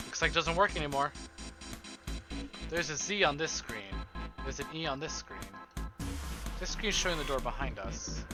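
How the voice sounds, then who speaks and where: A man's voice: fairly high pitch, expressive, normal speed, pauses often. A male streamer playing a story game live, casually muttering through puzzle steps and cracking jokes. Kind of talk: live game playthrough, reading and commenting. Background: game music.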